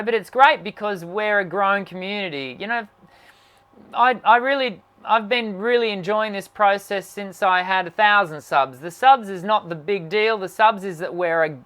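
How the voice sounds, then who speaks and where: A man's voice: bright, forceful tone, clean tone. A man, a singing teacher hosting a casual live stream, musing aloud and reading out viewer questions. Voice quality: naturally high voice. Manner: musing, reads viewer comments aloud, many fillers and restarts.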